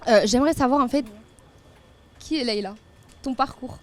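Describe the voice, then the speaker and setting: A woman asks casual, curious questions, one prompt after another. Her voice is a bit higher than average. Faint room ambience sits behind her. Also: normal speed, a little hiss or hum. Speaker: influencer asking questions. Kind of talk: casual chat.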